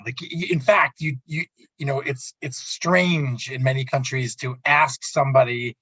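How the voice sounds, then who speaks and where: Halting male radio host, husky, roomy sound. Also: mid-range voice, fairly bright tone. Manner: halting, repeats and restarts words. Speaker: radio talk show host. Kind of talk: conversational interview, summarizing. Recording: muffled, roomy, echoey audio.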